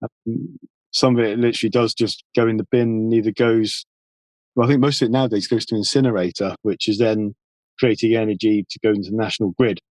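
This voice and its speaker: A man's voice: lowish voice, steady tone, brisk, a little mellow, dry recording, rough recording. Man chatting casually, close dry recording. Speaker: podcast guest, environmental campaigner. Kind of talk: casual conversational interview.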